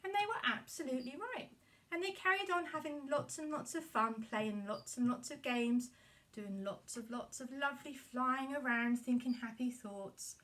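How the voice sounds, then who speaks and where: A woman telling a children's puppet story. Her voice is high and bright, with animated delivery, recorded at some distance from the microphone. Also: moderate pace.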